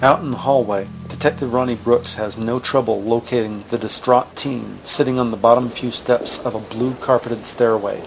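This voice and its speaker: A man narrating an audio drama in a measured, descriptive, scripted manner. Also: medium pitch, fairly even intonation, vocal fry, over music, close to an everyday voice, rough recording. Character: measured male narrator describing courtroom scenes.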